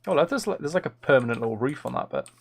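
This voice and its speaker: A soft-spoken man chatting casually on a gaming livestream, thinking aloud in a musing, tentative way as he builds. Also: mid-range voice, animated, fast, creaky. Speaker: livestream host.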